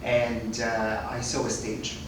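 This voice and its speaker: A man's voice: mid-range voice, completely flat delivery, slow pace. Chatty man in his thirties, echoey. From Kuwait. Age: 30s. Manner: chatty, restarts and stumbles, laughs often. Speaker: podcast guest. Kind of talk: casual interview chat. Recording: echoey room, distant mic, noisy.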